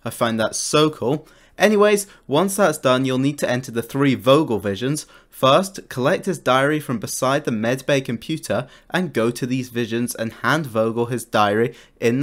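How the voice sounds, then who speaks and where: A man narrating a scripted video game guide in an informal, enthusiastic way, like a YouTuber. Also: mid-range voice. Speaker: gaming YouTuber.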